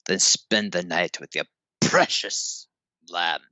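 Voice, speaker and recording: A man's voice: low-pitched, slow pace, muffled recording, heavy background noise. A man playing a cold, possessive Soviet scientist in a horror audio drama, stern and melodramatic in manner. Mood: bitter, menacing.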